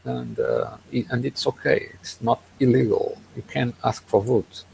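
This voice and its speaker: A man co-hosting a casual tech podcast over an online call, speaking in a hesitant, halting way. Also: mid-range voice, sing-song, gravelly, a little mellow, a little hiss or hum, poor audio. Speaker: podcast co-host. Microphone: online video hangout.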